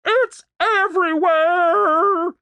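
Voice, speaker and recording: A narrator voicing a brash, foul-mouthed young country woman with a blunt, excitable, folksy drawl, as audiobook character dialogue. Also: mid-range voice, normal speed, no echo, voice pushed up. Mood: panicked, furious.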